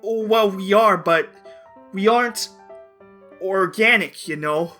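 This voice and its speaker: A man's voice: sits high, slow, pushed and bright, faint music behind, full character voice. A man voicing an awkward, polite young rag doll come to life, hesitant and stammering. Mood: embarrassed, earnest. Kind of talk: cartoon comic dub.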